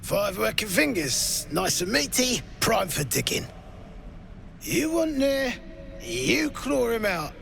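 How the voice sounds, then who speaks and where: A rowdy, cruel dwarf slave-driver from a fantasy game. The voice is sharp and forceful, with a gravelly creak, and drawls slowly through mocking, boozy taunts over faint music. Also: male voice, high voice, big pitch swings, exaggerated voice. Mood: gruff, bossy. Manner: brash, mocking, coarse slang. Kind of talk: video game character acting.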